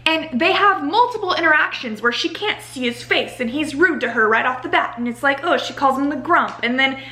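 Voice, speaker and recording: A young American woman giving a casual, chatty book review in an animated, exasperated ranting manner, saying "like" a lot. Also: high voice, fairly quick. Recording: roomy home echo.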